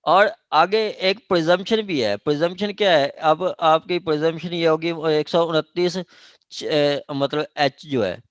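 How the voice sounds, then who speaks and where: A man with a Pakistani accent explaining law to a class informally and haltingly, often checking that they follow. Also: fairly high pitch, no echo. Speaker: law lecturer. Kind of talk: informal classroom legal explanation with question and answer.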